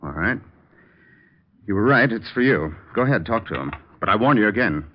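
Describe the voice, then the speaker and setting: A man's voice: lowish voice, animated, a little fast. A man acting an evasive, fussy, indignant crooked estate executor in an old-time radio crime drama. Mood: menacing. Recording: dull vintage radio recording.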